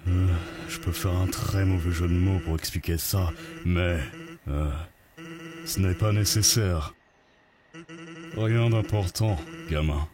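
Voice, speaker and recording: A man playing a weary, protective, easygoing skeleton with a laconic, hesitant way of talking, in an animated fan dub. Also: sits low, slow pace, a crowd in the background. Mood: evasive. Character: laid-back, weary, protective male skeleton. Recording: music behind.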